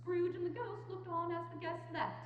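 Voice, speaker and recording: A woman's voice: very high voice, fairly even intonation, normal speed, slight background noise. A woman narrating a scripted story at a dramatic reading, recorded in a church hall. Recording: dull, echoing church hall.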